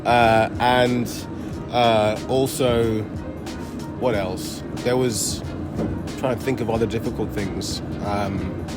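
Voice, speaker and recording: A man's voice: fairly low pitch, steady tone, slow pace, over loud music. A young man with a mixed London accent, talking loosely with many fillers and laughs as a comedian guest. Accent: London English. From France, raised in Portugal and London. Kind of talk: casual interview chat.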